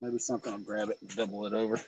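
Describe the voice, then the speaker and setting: A man's voice: fairly low pitch, little pitch movement, very fast, noisy background. A man, joking and chatting casually while night fishing on a lake, coaxing the fish aloud. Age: 40s. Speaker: fishing vlog host.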